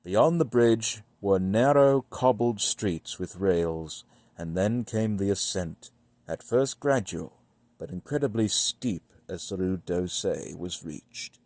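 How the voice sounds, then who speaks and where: A man with a fairly low voice narrates literary horror very slowly. He phrases each passage deliberately and leaves dramatic pauses between them. The sound is dry and close, with little room in it. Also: darkish tone, no room sound. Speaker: audiobook-style reader. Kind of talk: scripted literary narration of a gothic horror story.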